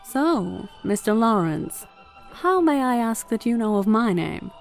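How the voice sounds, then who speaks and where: Woman playing a poised, lively lady passenger. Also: fairly high pitch, measured pace, vocal fry, faint music behind, heavily acted. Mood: arch, teasing. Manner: arch, formal. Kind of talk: amateur radio play acting, 1950s period drama.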